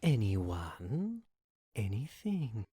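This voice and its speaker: Woman voicing a very deep, slow, tempting devil. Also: big pitch swings, warm tone, breathy edge, exaggerated voice. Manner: drawn-out, commanding, tempting delivery. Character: seductive, taunting devil granting dark bargains. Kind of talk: theatrical audio drama acting. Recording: hum and noise, home recording.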